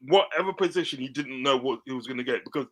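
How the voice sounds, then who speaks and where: A man's voice: medium pitch, quick pace, a bit bright. A man chatting enthusiastically about football, repeating himself. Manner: enthusiastic, repetitive. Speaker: panel guest. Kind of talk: casual chat.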